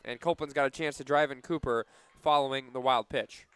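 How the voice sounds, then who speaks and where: A man calling live softball play-by-play for radio, measured between pitches and animated on big plays. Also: clear, resonant tone, no echo.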